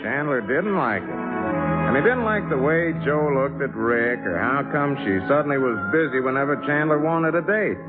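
An actor playing a wry, plain-spoken American jazz musician who narrates a 1950s radio drama. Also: male voice, on the high side, lively intonation, moderate pace, close to an everyday voice. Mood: reflective. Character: wry, easygoing jazz musician telling his friend's story. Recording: muffled old radio, loud music behind.

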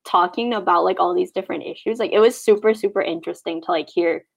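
A woman's voice: on the low side, fast talker, gravelly. A young woman in casual podcast chat, thoughtful and full of "like" fillers.

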